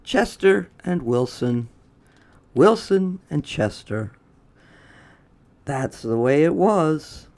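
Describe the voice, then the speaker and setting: A storyteller reads a children's picture book at an unhurried pace. The delivery is expressive and deliberate, with the pitch moving widely from phrase to phrase.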